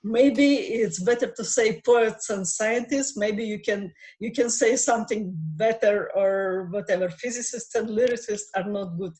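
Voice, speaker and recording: A woman whose first language is Russian speaks up on a video call. She talks earnestly and pauses to search for the right words, in a voice of middling pitch. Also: darkish tone. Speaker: participant. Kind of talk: casual online discussion.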